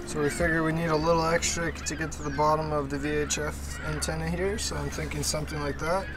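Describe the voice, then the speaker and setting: A man's voice: on the high side, level tone, fast talker, over music. A man in his thirties explaining boat wiring steps in a casual, upbeat way, recorded on a camera mic outdoors in a boatyard. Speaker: sailing vlogger. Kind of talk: casual vlog chat. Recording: outdoor camera audio with clear yard noise.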